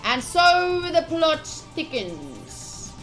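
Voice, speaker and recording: High-voiced woman streaming a visual novel. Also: sing-song, drawn-out words, a bit bright, soft background music. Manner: switches between dramatic read-aloud and chatty asides. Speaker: game streamer. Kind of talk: live visual novel playthrough, reading aloud and commenting to chat.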